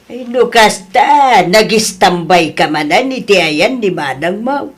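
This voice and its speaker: Female actor as middle-aged mother, Ilocano Filipino accent, slow pace, reproachful, chiding, family audio drama. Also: medium pitch, animated. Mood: questioning. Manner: chiding, drawn-out.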